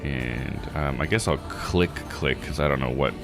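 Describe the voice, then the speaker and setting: A man's voice: rumbling low, a little slow, creaky, music in the background. A man hosting a livestream of a video game, thinking aloud in casual, slangy commentary. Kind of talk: casual gaming stream commentary.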